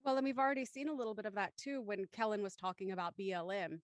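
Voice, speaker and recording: A woman's voice: medium pitch, steady tone, fast talker, no room sound, clean studio sound. A woman presenting as a show host, reading out introductions and narration in a scripted presenting style. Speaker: host of a live-streamed audio drama show.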